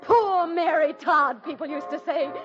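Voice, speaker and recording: An actress playing a proud, anxious president's wife in a theatrical, emotional 1940s radio drama style. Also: female voice, sits high, a little slow. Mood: agitated, vindicated. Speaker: lead actress and first-person narrator. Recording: dull 1940s radio recording with music.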